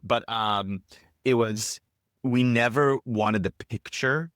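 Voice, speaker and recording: A man's voice: medium pitch, slow, fairly bright tone, dry recording, studio quality. A man, chatting as a podcast guest and rambling with many fillers and restarts. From Israel. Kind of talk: casual interview chat.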